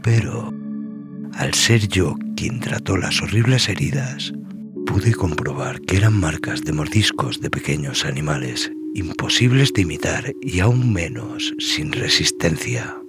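Low, slow man narrating horror over music. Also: very animated. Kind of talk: scripted horror narration in the first person. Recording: voice over loud music and rain.

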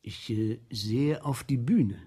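An actor playing a meek, deferential minor official in a German radio play, answering obediently and hesitantly. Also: male voice, medium pitch, takes it slowly, dark tone, husky.

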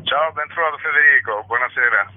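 A man's voice: medium pitch, brisk, a little hiss or hum. A man, a playwright and director, explaining his show at length in a radio interview over the phone, often hedging his words. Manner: talkative, hedging with "diciamo". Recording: tinny phone line, dry.

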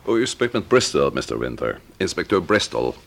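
An actor playing a calm, courteous police inspector in a Dutch radio play, asking measured, probing questions. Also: male voice, rumbling low, creaky, heavily acted. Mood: courteous, businesslike.

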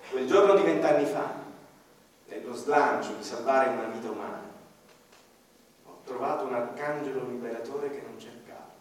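A man giving slow, reflective first-person narration in a radio drama, sometimes dropping to a hush, with a violin playing behind him. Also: medium pitch. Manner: slow, reflective, hushed at times. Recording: distant, echoey hall with long reverb. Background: violin music.

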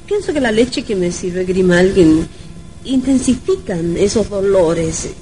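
An uneasy young wife in an old radio play, speaking slowly with wide, theatrical swings of pitch. She is tender and questioning, on a slightly noisy old recording. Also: expressive, mellow. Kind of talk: old radio drama acting.

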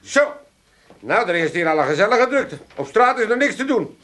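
High-pitched cheeky old Amsterdam dad. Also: male voice, sing-song, normal speed, pushed and bright, full character voice. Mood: mocking. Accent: Amsterdam Dutch. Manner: jaunty, mocking. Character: cheeky, teasing old Amsterdam father of the family. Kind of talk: comic radio play acting.

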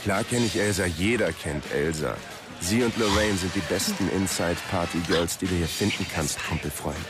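An actor playing a slick, brusque bartender in a futuristic club, talking in pushy, chummy slang in a radio drama. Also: male voice, low-pitched, moderate pace, lightly acted. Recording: loud music underneath.

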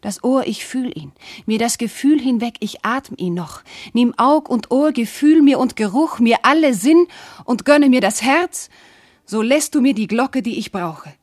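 An actress playing an anguished, devoted young princess in a classical German verse radio play, speaking in an impassioned, declamatory way. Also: female voice, on the high side, animated, a little slow, darkish tone, no echo, studio quality. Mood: fervent.

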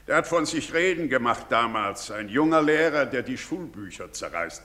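An actor playing a jovial, lecturing doctor in a radio drama. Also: male voice, mid-range voice, animated, normal speed, bright, forceful tone. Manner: jovial, lecturing, drops Latin phrases. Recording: long hall reverb.

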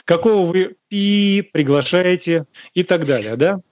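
A man's voice: measured pace, dry recording, highs cut off. A man hosting a live radio talk show, lecturing listeners in an emphatic, scornful way. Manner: emphatic and scornful, with sharp rises in pitch. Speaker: radio host answering listener questions.

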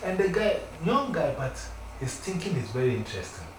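A man's voice: a little high, big pitch swings, fairly quick. Ghanaian man telling stories on echoey radio. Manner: storytelling, emphatic, acts out quoted exchanges. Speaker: teacher, radio guest. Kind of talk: casual radio chat. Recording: echoey, distant mic, noisy background.

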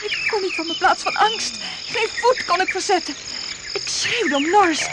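A woman's voice: very high voice, expressive, normal speed. An actress playing a frightened, indignant young woman in a radio play, speaking in an agitated, dramatic way. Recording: very noisy old radio play recording.

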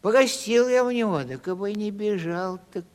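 An actor playing a plaintive, cheeky vagabond provincial comic in a 1970s audio stage drama, telling things animatedly. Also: male voice, sits high, normal speed, a little mellow, clean tone, close to an everyday voice. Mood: rueful storytelling. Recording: reverberant hall-like studio recording.

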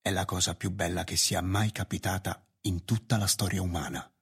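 John Webster, low, husky, resolute townsman. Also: steady tone, moderate pace, fairly bright tone, heavily acted. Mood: solemn, resolute. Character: John Webster, resolute, nostalgic male town official. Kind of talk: radio drama monologue. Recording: radio play with music behind. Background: music.

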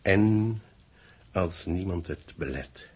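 A man's voice: sits low, expressive, darkish tone, slightly rough, exaggerated voice. A Dutch actor playing a grave senior man who declaims space bulletins solemnly in a 1960s radio drama. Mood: ominous. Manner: solemn, theatrical, deliberate declamation.

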